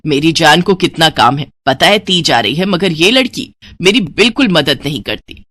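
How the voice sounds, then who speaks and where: A woman's voice: sits low, animated, moderate pace, sharp tone, no echo, full character voice. A woman playing a grumbling, sarcastic middle-aged mother in an audio story. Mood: exasperated. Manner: scolding, sarcastic.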